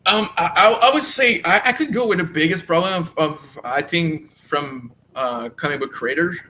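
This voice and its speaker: Eager French-Canadian man, bright voice. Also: on the high side, moderate pace, slight background noise, rough recording. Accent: Quebec French. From Quebec. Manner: eager, repeats words while searching for English. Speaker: guest comic creator. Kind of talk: casual interview talk.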